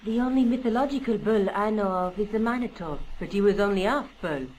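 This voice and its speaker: A woman's voice: medium pitch, brisk, a little mellow, a little hiss or hum, close to an everyday voice, rough recording. An actress playing a self-assured, knowledgeable young woman journalist in a video game, speaking in a matter-of-fact storytelling way.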